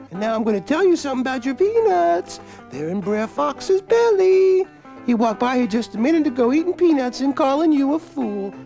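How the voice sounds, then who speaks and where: A man voicing a tricky, boastful male rabbit in a storybook tale, with a high, sing-song squealing voice. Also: creaky, a little mellow, exaggerated voice. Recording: guitar playing behind.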